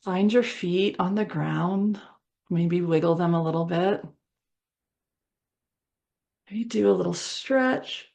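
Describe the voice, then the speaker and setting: A woman leading a guided meditation in a soothing, conversational way, speaking in short phrases with pauses between them. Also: on the low side, creaky, darkish tone. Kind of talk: guided meditation, gentle improvised visualization.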